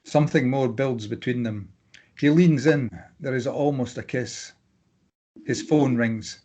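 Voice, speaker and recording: A man reading screenplay stage directions as scripted narration, plain and measured, with clipped scene headings. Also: mid-range voice, dark tone.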